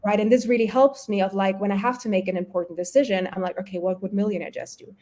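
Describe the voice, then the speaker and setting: A woman with a medium-pitched voice speaks as a guest in a casual interview. Her first languages are German and Polish. She tells things in a chatty way, often voicing her own thoughts as quotes. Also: steady tone, quick pace, dark tone. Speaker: guest, health coach and entrepreneur.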